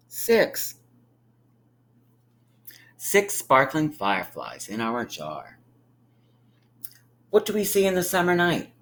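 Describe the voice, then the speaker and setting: A man reading a picture book aloud to young children like a teacher, with emphatic pauses and encouraging, friendly asides. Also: lowish voice, a bit bright. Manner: encouraging, inviting listeners to count along; drawn-out, emphatic storytelling delivery with dramatic pauses.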